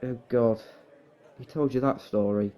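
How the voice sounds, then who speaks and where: A man's voice: mid-range voice, steady tone, a little slow, dark tone, lightly acted. A man playing a long-suffering, exasperated but fond young husband in a fan audio drama, flustered and grudging.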